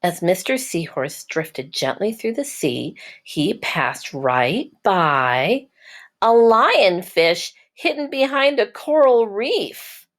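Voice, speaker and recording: A woman teacher narrating a children's storybook in a measured, explanatory way for young listeners. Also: medium pitch, lively intonation. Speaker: teacher reading aloud.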